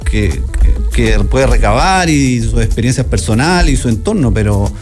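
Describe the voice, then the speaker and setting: A man's voice: medium pitch, expressive, moderate pace, darkish tone, faint music behind. A man in his thirties with a Chilean accent, explaining an event as a studio guest. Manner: explanatory, hesitant with fillers and restarts. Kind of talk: radio interview. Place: small radio studio. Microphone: studio mic with headphones.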